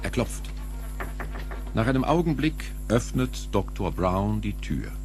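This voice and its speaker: A man's voice: lowish voice, animated, unhurried. A man reading scripted narration for an audio drama, telling the action as it unfolds. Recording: music behind the voice.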